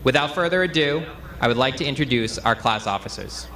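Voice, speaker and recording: A man's voice: medium pitch, animated, brisk, very noisy. A man, a school official, formally introducing speakers at a ceremony.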